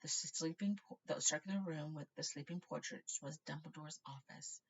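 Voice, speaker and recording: A woman's voice: low-pitched, moderate pace, vocal fry, husky, dull audio. A woman narrating a children's novel aloud, informally, stumbling now and then and correcting herself. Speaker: storybook reader.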